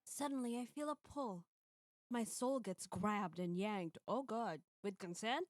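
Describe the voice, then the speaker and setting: A woman's voice: mid-range voice, a little slow, dry recording, clean studio sound, soft background music. A woman live-streaming, reading a visual novel aloud and chatting casually between passages.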